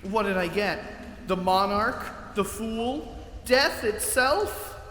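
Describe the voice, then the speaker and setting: A man's voice: high-pitched, expressive, takes it slowly, a bit bright, heavily acted. An actor playing an anxious, literal-minded ordinary man in a comedy sketch. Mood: incredulous. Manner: worried, literal-minded, incredulous. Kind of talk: comedy audio sketch acting. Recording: echoey cave reverb with faint noise.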